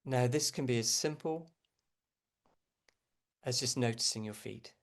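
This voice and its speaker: Gentle man guiding meditation, creaky voice. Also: medium pitch. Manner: gentle, unhurried, frequent pauses. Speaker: meditation teacher. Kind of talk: guided meditation, unscripted.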